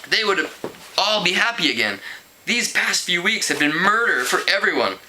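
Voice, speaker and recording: A man reading a storybook aloud as an amateur narrator, expressive and mock-dramatic. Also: high-pitched, moderate pace, rough recording. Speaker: amateur book reader.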